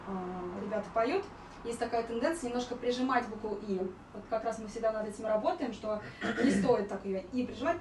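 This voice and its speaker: Female vocal coach, higher voice, explanatory and demonstrative, distant in an echoey room. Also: slight background noise. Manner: explanatory, demonstrating vowels and sung warm-ups. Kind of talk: informal spoken singing lesson with explanations. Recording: roomy echo, distant mic, music behind.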